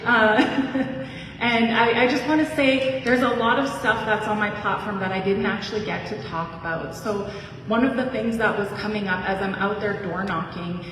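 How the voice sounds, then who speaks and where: A woman with a mid-range voice talks in a friendly, casual and slightly halting way at a candidates' forum. She is heard from a distance in a reverberant hall with faint background noise. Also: mid-range voice, steady tone, a bit bright. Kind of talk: debate speech. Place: community hall. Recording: echoey hall, distant mic.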